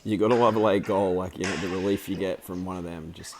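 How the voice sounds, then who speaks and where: A man just over the flu, his voice croaky, giving chatty, rambling commentary over footage of a hockey game he filmed, with plenty of fillers and restarts. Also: deep voice, fairly even intonation, fast talker, darkish tone, a little hiss or hum. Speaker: amateur hockey commentator and video maker.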